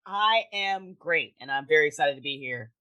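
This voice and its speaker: A woman chatting casually as a podcast guest, animated, self-deprecating and rambling. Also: low voice, normal speed, lo-fi sound. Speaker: podcast guest, author and streamer. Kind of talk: casual chat.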